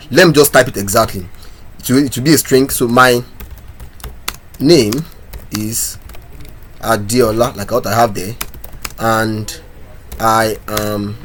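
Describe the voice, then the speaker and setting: A man's voice: mid-range voice, fairly bright tone, slight background noise. A man teaching a coding lesson, talking through his code step by step and asking viewers questions. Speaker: programming instructor. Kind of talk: screen-recorded coding tutorial.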